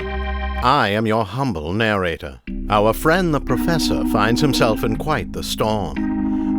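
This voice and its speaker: A man narrating an old-time style radio drama in a grandiloquent, theatrical manner. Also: on the low side.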